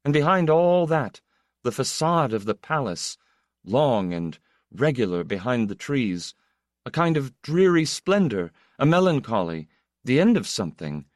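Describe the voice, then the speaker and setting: A man's voice: medium pitch, sing-song, unhurried, no room sound, studio quality, pitched above the performer's own voice. A man voicing an intense, melancholy younger brother, a proud poet who speaks in a restless, confessional, emphatic way.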